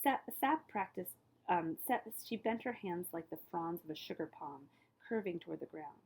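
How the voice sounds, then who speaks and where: A woman reading a children's story aloud as a librarian, with expressive emphasis and phrases that often fall hushed. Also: fairly low pitch, moderate pace, husky, poor audio.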